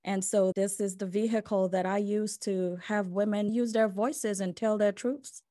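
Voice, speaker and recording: A woman's voice: medium pitch, steady tone, moderate pace, warm tone, no room sound, studio quality. A woman hosting a podcast interview, upbeat and encouraging with her guest. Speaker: podcast host.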